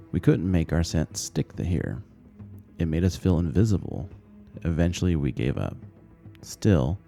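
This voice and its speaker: A man's voice: very deep voice, gravelly, mellow. A man reading a short story aloud as scripted audiobook narration.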